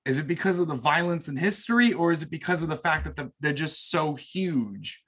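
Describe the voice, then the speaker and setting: Husky, higher-voiced man hosting a podcast. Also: lively intonation, a little fast, fairly bright tone. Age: middle-aged. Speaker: podcast host. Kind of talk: casual chat about football.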